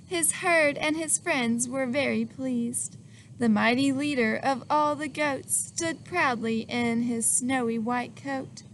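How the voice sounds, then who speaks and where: High-voiced woman reading a picture book. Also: a little hiss or hum. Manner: animated, asks the listener questions, acts out sneezes. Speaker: children's book reader.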